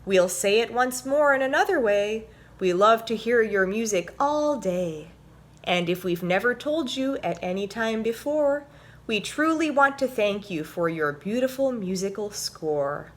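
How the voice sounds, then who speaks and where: A woman voicing friendly storybook forest animals reciting an affectionate rhyming message in a deliberate sing-song. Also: on the high side, animated. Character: friendly forest animals reciting a loving get-well card.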